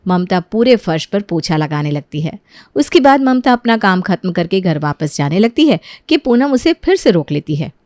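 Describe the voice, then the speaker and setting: A woman narrating a scripted moral story as an expressive storyteller. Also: fairly low pitch, normal speed, dry recording, clean studio sound.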